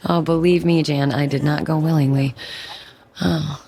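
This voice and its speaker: Weary, low-voiced, gravelly wife. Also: female voice, fairly even intonation, normal speed, warm tone, slight background noise. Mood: wistful, relieved. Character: weary, resolute wife bargaining with a supernatural tormentor. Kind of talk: radio drama acting.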